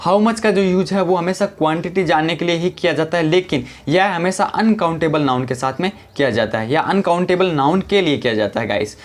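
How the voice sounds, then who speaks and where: Guy teaching a lesson, calm and steady, says every example sentence real slow. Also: on the high side. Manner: reads English examples slowly and deliberately; calm step-by-step explaining, repeats "guys". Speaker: English teacher. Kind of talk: explaining lesson to camera.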